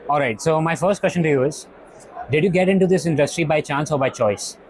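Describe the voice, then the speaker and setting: A man's voice: on the high side, brisk, a little hiss or hum. A man hosting an interview podcast, giving a scripted welcome and then short, brief questions. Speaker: host. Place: exhibition hall.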